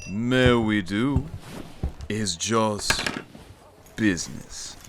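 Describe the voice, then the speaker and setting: Slow, low, folksy Cajun man played by an actor. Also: background noise. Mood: matter-of-fact. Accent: Louisiana Cajun. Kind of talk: audio drama acting.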